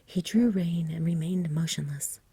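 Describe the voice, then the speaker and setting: A woman storyteller reads in an ASMR style, in a low, very breathy whisper. Her delivery is gentle and soothing, mellow, and close to the mic. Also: normal speed, no room sound. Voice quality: soft-spoken, whispery, breathy. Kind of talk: ASMR bedtime story reading, scripted narration.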